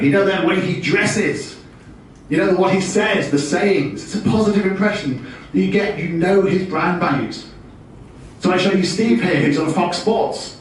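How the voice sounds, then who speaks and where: A man's voice: high-pitched, a little hiss or hum. A British man giving a business seminar talk with a jokey, self-deprecating manner. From United Kingdom. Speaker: presenter. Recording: very echoey room, distant mic.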